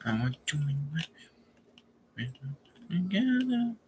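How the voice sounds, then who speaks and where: Slow, rambling young male streamer. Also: high-pitched, very animated, darkish tone, slight background noise. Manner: rambling, halting, many fillers and restarts. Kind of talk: casual live-stream chat.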